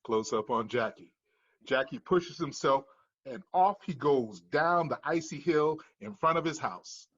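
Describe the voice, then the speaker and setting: A man's voice: fairly high pitch, expressive, unhurried, fairly bright tone, lo-fi sound. Male narrator reading screenplay stage directions.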